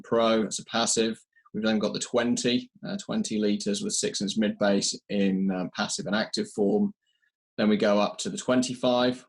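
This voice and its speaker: A man giving technical answers in an interview, measured and explanatory, pausing to find his words. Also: on the low side, breathy edge. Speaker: audio company representative being interviewed.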